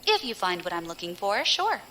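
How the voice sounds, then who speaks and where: Woman acting a cocky, bright-voiced female space pirate. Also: mid-range voice, big pitch swings, brisk. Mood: smug, playful. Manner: wry, teasing, self-assured. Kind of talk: audio drama acting.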